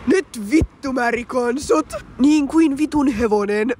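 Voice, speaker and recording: A young woman fan-dubbing characters with shouty, swearing delivery. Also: sits high, slight background noise. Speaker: fan dubber voicing several characters. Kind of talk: amateur fan dub acting.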